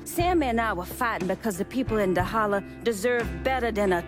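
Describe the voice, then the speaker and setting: An actress playing a shrewd, proud female crime boss in a game drama, with a measured, commanding delivery. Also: medium pitch, expressive, lightly acted. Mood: proud, scornful. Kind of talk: video game cutscene drama acting. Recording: music behind the voice.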